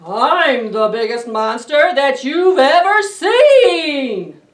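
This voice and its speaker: A woman voicing a big, scary but playful storybook monster, chanting boastfully in loud, drawn-out rhythm. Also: high-pitched, very animated, voice pushed up, full character voice. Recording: roomy, distant camera microphone.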